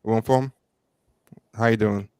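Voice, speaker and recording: A man in his thirties co-hosting a casual livestream chat from home, joking at his own expense, laughing often and pausing on fillers. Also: fairly low pitch, steady tone, a little mellow, smooth, no room sound, clean studio sound. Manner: self-deprecating, laughs often, trails off with fillers. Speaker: podcast co-host. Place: home room with plants and posters. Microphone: studio mic with headset, on a video call.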